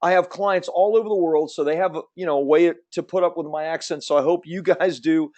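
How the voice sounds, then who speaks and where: A man in his fifties with a slight Southern American accent, rattling through a webinar at speed. His voice sits a little high for a man. His delivery is upbeat and salesy, like a pitch to an online audience. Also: steady tone, a little fast, a little mellow. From Central Florida. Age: 50s. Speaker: webinar host. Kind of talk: fast promotional webinar presentation.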